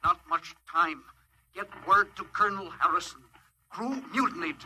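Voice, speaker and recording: An actor playing a desperate spaceship captain in a 1950s radio drama, urgent and emotional. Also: male voice, sits high, normal speed, a little hiss or hum. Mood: desperate urgency. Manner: urgent, emotional, shouting orders.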